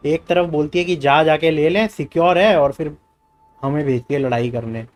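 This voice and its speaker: A man's voice: fairly high pitch, very fast, faint music behind. A man with an Indian accent, a native Hindi speaker, doing a casual gaming livestream and reading game dialogue aloud. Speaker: game streamer.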